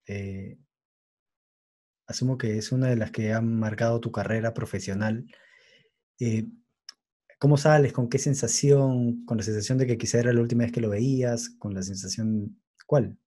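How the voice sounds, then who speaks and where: A man with a mellow, medium-pitched voice. He works as an interviewer, putting questions conversationally and in a measured, slightly halting way.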